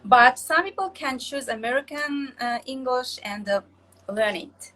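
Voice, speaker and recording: A woman with a fairly high voice and a Kazakhstani accent talks as an English learner. Her answers are conversational but halting, with hesitant phrasing. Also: background noise. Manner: hesitant, halting second-language speech.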